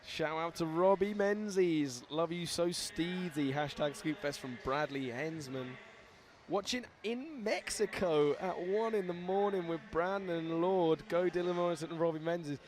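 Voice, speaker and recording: A young man hosting live commentary at a scooter event, excitable and laughing, reading out viewer shout-outs. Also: on the high side, unhurried.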